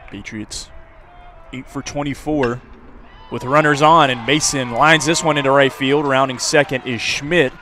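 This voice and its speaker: A man's voice: medium pitch, steady tone, measured pace, pushed and bright. A man calling a baseball game live as a radio play-by-play announcer. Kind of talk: live radio sports commentary. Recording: outdoor ballpark crowd and ambient noise. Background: ballpark crowd, outdoor noise.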